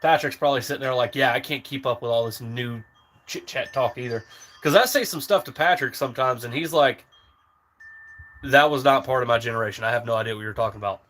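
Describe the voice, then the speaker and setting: A man's voice: medium pitch, quick pace, fairly bright tone. A man in his thirties chatting casually on a livestream, rambling with lots of fillers while shooting outdoors. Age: 30s. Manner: rambling, easygoing, filler-heavy. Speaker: livestream host. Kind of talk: casual live-stream chat while target shooting.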